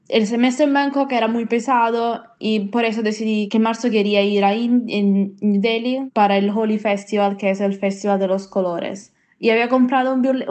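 A woman's voice: a little high, darkish tone. A young Italian woman with an Italian accent tells a personal account, speaking as a non-native with slightly foreign phrasing. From Italy. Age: young adult. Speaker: interviewee telling personal experience. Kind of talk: personal account, narrated monologue. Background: music.

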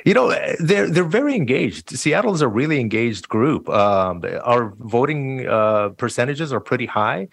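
A man's voice: medium pitch, very animated, moderate pace, gravelly, few pauses, no echo. A middle-aged man from Jordan speaking earnestly as a guest in a conversational interview. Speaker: radio community engagement director, interview guest.

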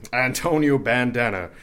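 Pompous Italian fake general. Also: male voice, mid-range voice, expressive, vocal fry, fairly bright tone, exaggerated voice. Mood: pompous bluffing. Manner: self-important, deliberate. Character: pompous Italian male conman posing as a military general. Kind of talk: audio drama comedy acting.

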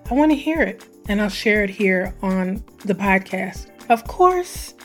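A woman hosting her own podcast, her voice hoarse and scratchy, talking in an animated, conversational way and drawing out words for emphasis. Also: medium pitch, a little slow, faint music behind. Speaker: podcast host. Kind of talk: solo podcast intro.

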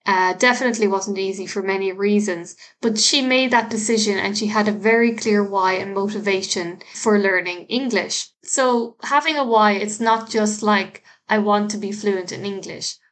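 A woman's voice: medium pitch, moderate pace, few pauses, a bit bright. A woman from Ireland with an Irish accent, hosting a solo podcast and giving learners earnest, encouraging tips, with frequent restarts and fillers. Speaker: podcast host, language teacher.

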